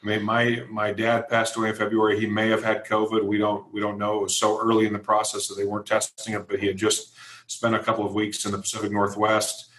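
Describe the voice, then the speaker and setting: A man's voice: on the low side, completely flat delivery, quick pace, fairly bright tone. An American man giving conversational interview answers as a guest, earnest, emphatic and plain-spoken. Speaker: interview guest, events company executive. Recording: echoey room sound.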